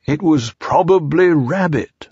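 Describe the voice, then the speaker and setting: A good-natured little storybook bear played by a man, pitched high and thinking slowly aloud. He is innocent and hesitant, drawing his words out thoughtfully. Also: expressive, a little mellow, slightly rough, no echo, lo-fi sound, full character voice. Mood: hesitant, puzzled.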